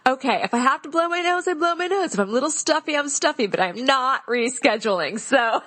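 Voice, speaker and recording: A bubbly woman host with a stuffy, congested nose chats with her guest. Her voice is fairly high and swings up and down with lively pitch. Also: fairly quick. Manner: bubbly, excitable. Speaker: podcast host. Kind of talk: casual interview chat.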